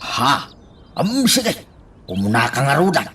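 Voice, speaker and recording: Man acting a slow-drawling old farmer, wildly swooping. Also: mid-range voice, huge pitch swings, bright, forceful tone, recorded from a distance, exaggerated voice. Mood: sly, coaxing. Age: older. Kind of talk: radio drama acting.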